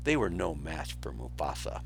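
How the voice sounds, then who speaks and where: A man's voice: a bass voice, sing-song, normal speed, creaky, soft background music. A man reading a children's storybook aloud as a teacher, narrating expressively with brief asides.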